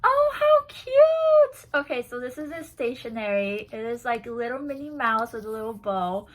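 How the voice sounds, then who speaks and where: Giggly excitable woman doing an unboxing, kinda high voice, bounces all over. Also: moderate pace, poor audio. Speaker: vlogger unboxing a swap box. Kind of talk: casual unboxing chat to camera. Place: indoors at home, seated on a bouncy ball.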